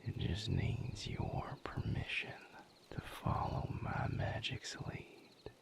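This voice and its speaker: A man playing a gentle, patient vampire mate in an intimate audio roleplay, coaxing softly in a breathy, gravelly whisper. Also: a little hiss or hum, close to an everyday voice. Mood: soothing, focused. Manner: soothing, coaxing, unhurried guidance.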